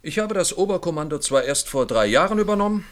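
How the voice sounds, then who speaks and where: A man's voice: a little high, expressive, quick pace, natural voice. Actor as a firm, impatient male general. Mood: impatient, insistent. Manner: firm, formal, insistent. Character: impatient male general, supreme commander in space war. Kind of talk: German radio play acting.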